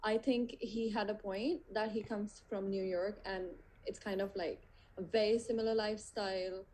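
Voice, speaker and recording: Young woman, medium pitch. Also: fairly even intonation, normal speed, darkish tone, poor audio. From India. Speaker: student participant in a group discussion. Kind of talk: casual conversation.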